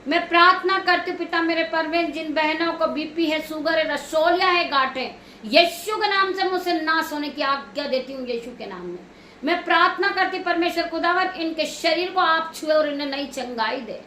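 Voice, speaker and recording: A woman delivers a fervent sermon in a high-pitched voice. She exhorts emphatically, repeating her phrases as she prays. The room rings with echo and there is some noise behind her. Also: normal speed, bright, forceful tone. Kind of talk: fervent Hindi sermon and evening prayer. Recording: echoey room with clear background noise.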